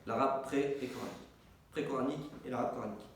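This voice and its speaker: A man's voice: medium pitch, steady tone, fast talker. A man whose first language is Arabic, teaching a small group informally; he repeats words as he searches and asks his own questions, then answers them. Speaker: teacher, institute director. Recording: echoey room, distant mic, faint noise.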